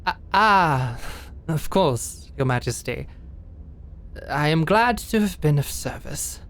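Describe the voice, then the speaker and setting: A woman's voice: sits low, animated, takes it slowly, a little hiss or hum. A woman playing a proud, short-tempered knight in a fantasy audio drama, with a formal, clipped and tightly controlled manner. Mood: stiffly deferential.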